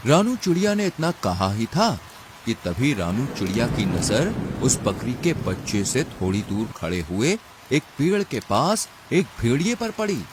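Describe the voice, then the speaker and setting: Male storybook narrator, animated intonation, mid pitch, scripted delivery with faint background noise. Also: a little slow.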